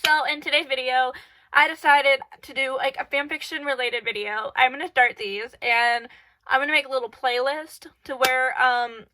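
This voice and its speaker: Woman, stuffy nose, congested, chatty rambling vlog host, lots of fillers, high voice, bright tone. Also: fairly even intonation, moderate pace, rough recording. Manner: rambling, full of "um", "like" and "honestly". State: stuffy, congested from crying and a cold. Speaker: channel host. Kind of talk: casual advice vlog. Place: her bedroom. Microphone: camera in her room.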